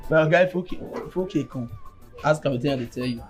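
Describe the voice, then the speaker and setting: A man with a Nigerian Pidgin accent playing a gossiping young male friend who talks in an animated way. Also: on the high side, quick pace, soft background music, natural voice. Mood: gossipy.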